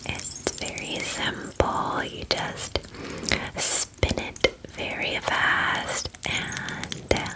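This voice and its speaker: A woman voicing a friendly, doting female dragon in a whispered ASMR fantasy roleplay, with gushing, hesitant, filler-heavy chatter. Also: drawn-out words. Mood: earnest, reassuring. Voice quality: breathy whisper.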